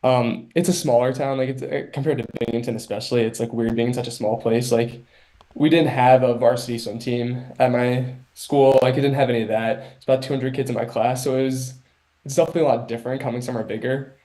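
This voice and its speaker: Mellow young man, easygoing. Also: mid-range voice, fairly even intonation, quick pace, poor audio. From Long Island, New York. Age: young adult. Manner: easygoing, laid-back. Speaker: college swimmer guest. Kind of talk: casual interview answers.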